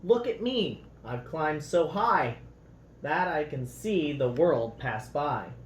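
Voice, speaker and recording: A man plays an earnest, lovestruck slug from a children's storybook. He recites rhyming notes slowly and sincerely, with a lilting, swinging pitch in a roomy echo. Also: lively intonation. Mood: yearning. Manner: sincere, singsong rhyming delivery. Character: earnest, lovestruck male slug writing poems. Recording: roomy echo, faint background noise.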